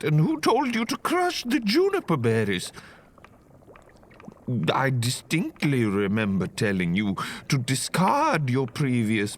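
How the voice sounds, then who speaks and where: Fantasy audio drama: male actor as exacting magic-academy professor, slow, pedantic delivery, expressive pitch, big reverberant room. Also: exaggerated voice. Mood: exasperated, scolding. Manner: pedantic, scolding lecturer with deliberate phrasing. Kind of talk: scripted fantasy audio roleplay. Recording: long hall reverb, faint noise.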